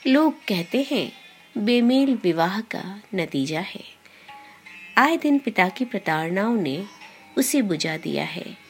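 A woman podcast host reading a short story in a measured, deliberate storyteller's delivery. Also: mid-range voice, lively intonation. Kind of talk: scripted storytelling narration. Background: background music.

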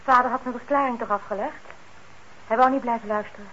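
A woman's voice: on the high side, fairly even intonation, fast, fairly bright tone, natural voice. A woman playing an indignant, protective daughter in a radio drama, curt and disapproving. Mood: defensive. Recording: dull, noisy old radio recording.

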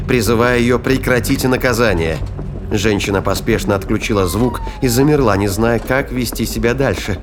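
A man's voice: on the low side, noisy background. A man narrating an audio drama in a dramatic, scripted storytelling style. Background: music.

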